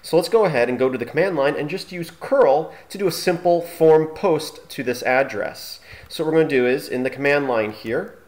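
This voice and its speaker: A man hosting an informal screencast tutorial, talking haltingly as he thinks aloud through each step. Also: on the high side. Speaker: tutorial host.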